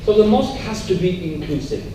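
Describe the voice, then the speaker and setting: A man's voice: sits high, very animated, fairly quick, dark tone. A man giving an earnest, emphatic panel answer at a community talk, repeating his key points. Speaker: panel speaker. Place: large echoey room at a public event. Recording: very echoey hall, distant mic, faint noise.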